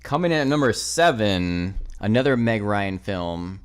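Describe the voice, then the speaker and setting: Low-voiced male podcast host, loose and profane, rambles through a countdown. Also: animated, measured pace, clean tone. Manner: rambling, profane, fumbles for names. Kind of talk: casual podcast chat, counting down a movie list.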